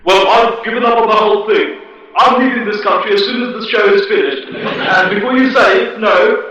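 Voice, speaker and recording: Man putting on a very high-pitched belligerent show guest. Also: moderate pace, pushed and bright. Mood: scornful, angry. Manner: combative, exclamatory, insulting. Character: belligerent, foul-mouthed, indignant male talk show guest. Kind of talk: spoof radio play of a confrontational talk show. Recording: very echoey, distant, dull, heavy noise.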